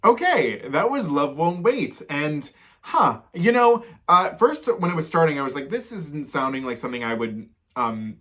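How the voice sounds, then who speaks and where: A man's voice: fairly high pitch, very animated, moderate pace, a bit bright. A man in a casual, loosely meandering, conversational style, giving commentary as a music reaction host.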